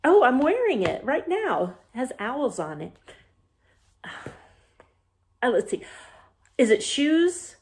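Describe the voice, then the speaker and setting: A woman in her forties hosting a storytime for young children, talking playfully with puzzled, questioning pauses as if forgetful. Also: high-pitched, sing-song, darkish tone, a little hiss or hum. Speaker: children's library storytime host. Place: small bedroom, indoors.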